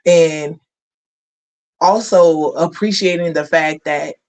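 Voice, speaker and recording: A man's voice: fairly high pitch, slow pace. A man hosting a community radio talk show, chatting in a conversational, affirming way. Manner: conversational, affirming, lots of "you know". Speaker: host.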